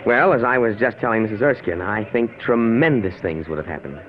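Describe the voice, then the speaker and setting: An actor playing a confident, earnest author in old-time radio drama, polite and emphatic, with an American accent. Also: male voice, lowish voice, expressive, fairly quick, lightly acted. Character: earnest, confident male author expounding his historical theory. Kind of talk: 1940s radio acting. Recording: tinny old radio recording.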